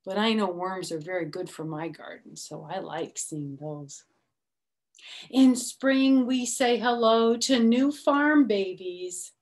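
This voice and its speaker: A middle-aged woman reads a children's picture book aloud slowly and expressively, adding chatty, simple explanations for young children. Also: a little high, darkish tone. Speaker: storytime reader. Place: small room at home, indoors.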